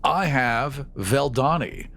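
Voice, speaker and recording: A man's voice: mid-range voice, slow. A man chatting casually as a podcast panelist, telling improvised stories with emphatic, repeated phrasing. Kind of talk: casual improvised chat and storytelling.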